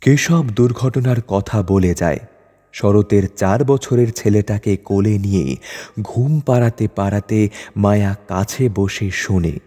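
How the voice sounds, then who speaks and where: A man's voice: lowish voice, warm tone. A man narrating a literary short story from a script. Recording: long reverb over music bed.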